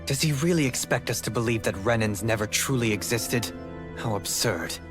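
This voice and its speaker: A male actor plays a skeptical noble in a game cutscene. His mid-range voice is formal and clipped. Music is clearly heard behind him. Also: medium pitch, moderate pace, close to an everyday voice. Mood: indignant. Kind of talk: scripted video game voice acting.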